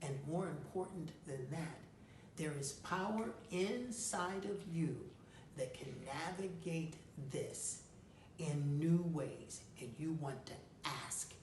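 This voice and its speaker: Low, slow woman coach in echoey room. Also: darkish tone. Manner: deliberate, emphatic, coaching. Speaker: host of an advice series, life coach. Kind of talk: advice talk answering a listener's question. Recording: roomy echo, distant mic, faint noise.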